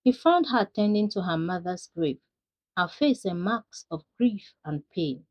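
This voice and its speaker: A woman's voice: on the low side, expressive, measured pace. A woman storyteller reading a scripted folktale narration. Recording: dull, lo-fi sound.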